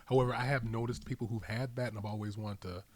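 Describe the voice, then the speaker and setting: A man's voice: mid-range voice, steady tone, fast talker, dry recording. A man co-hosting a podcast in casual banter, arguing incredulously with rhetorical questions. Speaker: podcast co-host.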